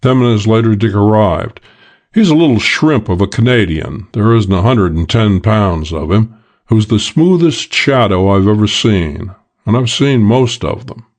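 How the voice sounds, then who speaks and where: A man reading a hardboiled detective audiobook as scripted narration, in a measured, matter-of-fact way. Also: fairly low pitch, lively intonation.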